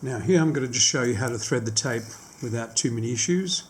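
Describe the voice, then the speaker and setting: A man's voice: medium pitch, expressive, quick pace, breathy edge. A man giving a casual hands-on product demonstration, explaining step by step and often rephrasing his instructions.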